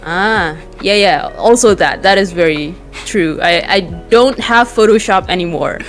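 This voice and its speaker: A man with a light, high-pitched voice, speaking informally and with youthful slang as a guest in a casual interview. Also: unhurried, fairly bright tone. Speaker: interview guest, webcomic artist. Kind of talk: casual chat. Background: background music.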